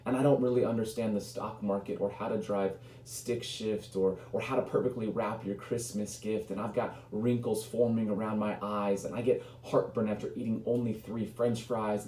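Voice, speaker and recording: A man in his early thirties reciting spoken word poetry in a conversational, reflective, earnest way, with deliberate pauses and repeated phrases. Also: lowish voice, warm tone. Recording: roomy echo, noticeable background hum.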